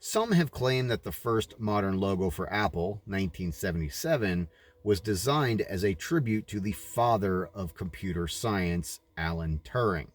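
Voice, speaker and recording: A man reading a religious book aloud in deliberate, emphatic phrases, breaking into informal commentary as he goes. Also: fairly low pitch. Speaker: reader of a religious book, adding asides.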